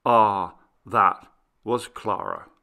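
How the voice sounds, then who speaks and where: A man's voice: fairly low pitch, wildly swooping pitch, very slow, creaky, pushed and bright, exaggerated voice. A man voicing an intense, fragile young romantic man in a story, emotional and declamatory. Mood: grateful, solemn.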